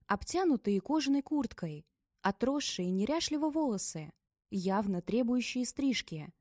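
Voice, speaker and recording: Mellow-voiced woman reading an audiobook. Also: medium pitch, no echo, studio quality. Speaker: audiobook narrator. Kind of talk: scripted audiobook narration.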